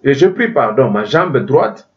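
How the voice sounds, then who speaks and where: A man's voice: mid-range voice, very animated, measured pace. A man preaching a sermon in French, which is not his first language, emphatic, often restarting phrases and asking his listeners if they understand. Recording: dull lo-fi sound from a distance.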